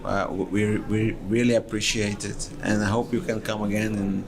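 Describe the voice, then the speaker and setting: A man's voice: fairly low pitch, flat delivery, moderate pace, creaky, a crowd in the background. A man with a Brazilian Portuguese accent interviewing a guest at a conference, speaking hesitantly and often repeating words.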